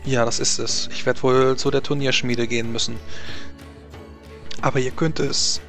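A man's voice: mid-range voice, little pitch movement, moderate pace, a bit bright, close to an everyday voice. A man voicing an evasive noblewoman knight in a tabletop game, speaking formally. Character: evasive noblewoman knight, politely excusing herself. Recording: music playing behind the voice.

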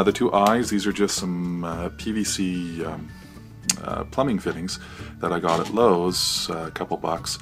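An American man giving a casual, hesitant, off-the-cuff walkthrough of his DIY project. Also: gravelly. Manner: hesitant, off-the-cuff, low-key.

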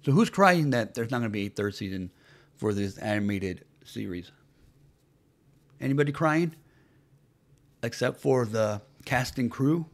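Gravelly, sarcastic male commentator. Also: mid-range voice, expressive, unhurried, pauses often. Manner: sarcastic, repetitive rhetorical questions. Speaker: commentary channel host. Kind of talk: mocking commentary on entertainment news.

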